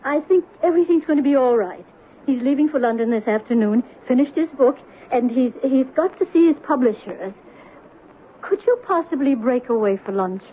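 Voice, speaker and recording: An actress playing a kind, widowed mother in an old radio drama, gentle in manner with nervous little laughs. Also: sits high, expressive, moderate pace, narrow, tinny audio. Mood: gentle, anxious. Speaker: Celia, a mother. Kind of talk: 1970s radio drama acting. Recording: old radio broadcast, phone-thin with hiss.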